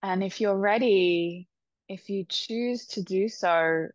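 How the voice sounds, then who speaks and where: A woman leading a guided meditation with soft, soothing instructions. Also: mid-range voice, no room sound, dull audio. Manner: soft, slow, soothing instructions with long pauses.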